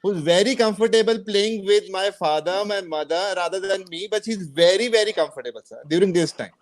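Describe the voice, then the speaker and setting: A man speaks as a panelist in a high voice. He talks haltingly, restarts often and politely calls the host sir. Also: animated. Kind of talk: online panel discussion.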